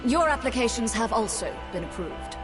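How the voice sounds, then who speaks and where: An actress playing a stern, formal female immigration official in a video game, measured and haughty. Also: fairly high pitch, lively intonation, music in the background, lightly acted. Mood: stern, cautioning. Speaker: voice actor in a video game cutscene. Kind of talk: scripted game dialogue.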